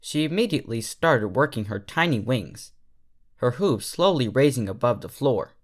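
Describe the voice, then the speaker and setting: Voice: mid-range voice, expressive, normal speed. A storyteller reading scripted fiction aloud as its narrator. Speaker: fan fiction reader, narrator.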